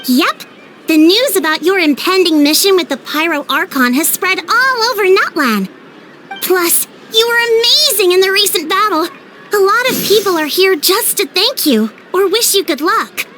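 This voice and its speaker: Frazzled young village organizer, high-pitched. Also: female voice, normal speed, a little hiss or hum. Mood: explaining. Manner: exasperated, expressive. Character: young female village party organizer, frazzled but good-hearted. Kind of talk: anime fantasy game acting. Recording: background game music.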